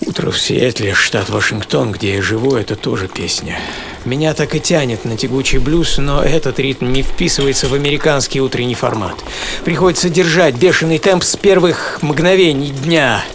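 A man's voice: mid-range voice, moderate pace, noisy background, natural voice. A man performing a dramatised first-person story as a dreamy, passionate coffee businessman, savouring his words theatrically. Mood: wistful, passionate. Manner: theatrical, savouring, emphatic delivery. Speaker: author and narrator of a radio programme. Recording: studio voice over music. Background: music.

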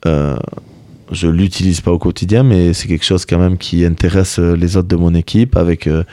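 A man's voice: sits low, steady tone, normal speed, warm tone, dry recording, clean studio sound. A young man whose first language is Basque, a rugby player answering interview questions in a casual, hesitant way with many fillers.

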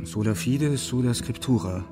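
An actor playing a calm, thoughtful companion of a religious reformer in a German radio play, measured and questioning. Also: male voice, medium pitch, over music, close to an everyday voice. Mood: solemn reading.

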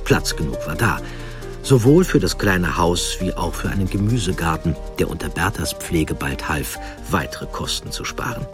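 Low-voiced male storyteller. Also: expressive, normal speed, music in the background. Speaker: narrator. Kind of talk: scripted storytelling narration.

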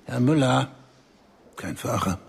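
A self-assured banker in a radio play, barking clipped orders with a gravelly edge and a lively, swinging delivery. A faint hiss sits under the voice. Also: male voice, medium pitch, slow pace. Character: self-important German banker, brisk and boastful. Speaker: banker at a summit.

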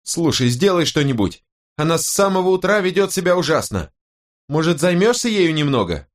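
An irritated young mother snaps and nags at her husband in an audiobook , voiced by a man. The pitch is pushed high and jumps around a lot. The manner is sarcastic, sharp and worn out. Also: sing-song, moderate pace. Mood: exasperated. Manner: sharp, nagging, exasperated. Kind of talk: audiobook character voice.

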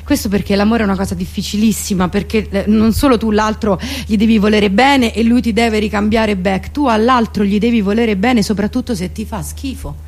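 A woman's voice: mid-range voice, steady tone, brisk, few pauses, a little mellow. A woman delivering a theatrical comic monologue in a mock-solemn, rambling and colloquial way.

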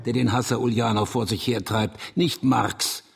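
A man's voice: medium pitch, fairly even intonation, normal speed, vocal fry, very noisy, natural voice. An actor playing a grim, pious anti-revolutionary Russian man who argues emphatically in a German radio drama. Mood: accusing. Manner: declamatory, emphatic.

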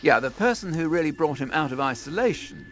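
A man's voice: a little high, animated, a little fast. A man in an interview, explaining and recounting a life story.

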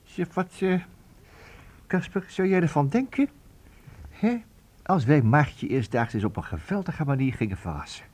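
An actor playing an excitable, absent-minded male inventor in a children's radio play, husky and dithering, stammering and repeating himself. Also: on the high side, moderate pace, pauses often. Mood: dithering, enthusiastic.

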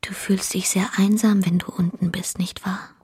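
An actress playing a gravely ill, childlike young wife in a radio drama, tender and pleading in a weak, whispery voice. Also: female voice, medium pitch, flat delivery, moderate pace, dark tone, a little hiss or hum, lightly acted. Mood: tender longing. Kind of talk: German radio drama acting.

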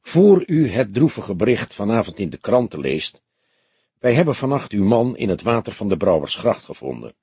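A man's voice: fairly low pitch, sing-song, mellow, breathy edge, dry recording, heavily acted. A man voicing a weary old police detective who speaks formally and carefully in an audiobook. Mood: solemn. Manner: formal, measured, cautious.

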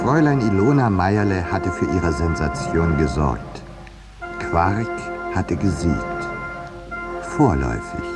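Deep-voiced, wry painter-narrator. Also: male voice. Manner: dry, ironic, composed, articulate. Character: wry, peace-loving male landscape painter, narrating his misadventure. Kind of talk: 1950s German radio play acting, dry first-person narration. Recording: 1950s radio drama production.

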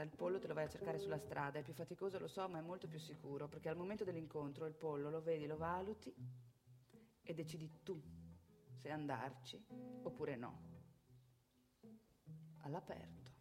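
An actress playing a seasoned street prostitute who coaches a newcomer in an emphatic, blunt theatrical radio monologue. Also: female voice, low-pitched, normal speed, long pauses, over music. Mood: calm, cautionary. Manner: instructing, emphatic, blunt, second-person advice.

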